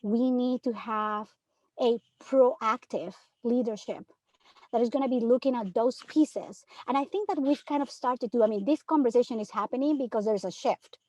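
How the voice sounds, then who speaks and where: A woman from Peru who teaches third grade speaks earnestly on a panel, pressing her points with rhetorical questions. Her voice sits a little high. The sound is dry and close. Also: moderate pace, warm tone, dry recording, poor audio. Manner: earnest, emphatic, many rhetorical "right?". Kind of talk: panel discussion.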